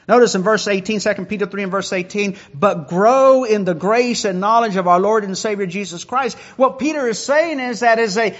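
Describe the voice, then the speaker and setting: A man's voice: extremely high pitch, muffled recording. A man preaching a sermon who often repeats words as he builds a point.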